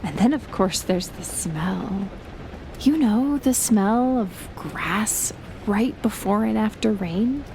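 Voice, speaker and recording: A woman playing a gentle, shyly affectionate best friend in an intimate audio roleplay, speaking softly and hesitantly with a breathy, near-whispered voice. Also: mid-range voice, expressive, noisy background. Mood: calm, reflective. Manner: soft, hesitant, slow with long pauses. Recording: loud rain and thunder throughout. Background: rain and thunder effects.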